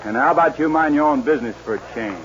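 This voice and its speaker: An actor playing a proud, spoiled young rancher's son in a 1940s radio western. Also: male voice, fairly high pitch, quick pace, slight background noise, lightly acted. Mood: curt, angry. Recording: dull old radio recording with music.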